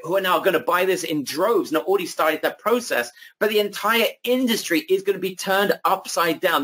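A man presenting a sales webinar over slides, emphatic and repetitive, his voice going hoarse. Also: high voice, sharp tone. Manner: emphatic, repetitive hype, addresses audience as guys.

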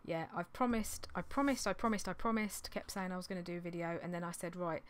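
A woman in her thirties, slightly hoarse from losing her voice, chatting in a rambling, apologetic way on a vlog, recorded in a small indoor craft room on a desktop mic. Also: lowish voice, fast, a little hiss or hum. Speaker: clothing reseller vlogger. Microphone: desktop condenser mic on an arm.

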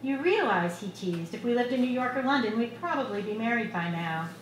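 A middle-aged woman reading her own short fiction aloud at a live event, in a measured, expressive storytelling delivery. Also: on the high side, a crowd in the background. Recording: echoey bar room with loud background noise.

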